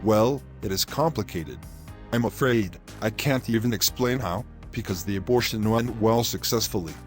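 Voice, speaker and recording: A man playing a grave, hesitant doctor who speaks in a measured, apologetic way in a scripted drama. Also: on the low side, faint music behind, lightly acted. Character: grave male doctor breaking bad news. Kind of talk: scripted moral-story drama.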